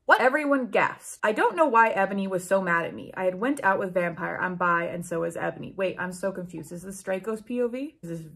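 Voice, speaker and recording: A woman's voice: medium pitch, fairly quick, gravelly, hardly any pauses, fairly bright tone. A woman in her twenties reading aloud and reacting in an incredulous, theatrical, comedic way, swearing freely. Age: 20s. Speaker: book channel host. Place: small room at home with bookshelves, indoors.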